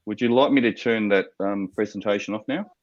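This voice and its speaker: An Australian man, a startup founder, answering investor questions on a video call in a halting way with many fillers and restarts. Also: on the low side, no room sound, highs cut off. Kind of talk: business pitch and Q&A.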